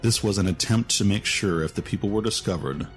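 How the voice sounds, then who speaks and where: Male narrator for scripted fiction: low voice, story reading, faint music bed. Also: brisk.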